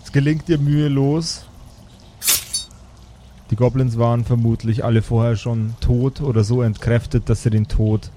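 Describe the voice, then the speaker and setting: A man narrating an improvised tabletop game as game master, with deliberate, dramatic phrasing. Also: medium pitch, fairly even intonation, mellow, slight background noise.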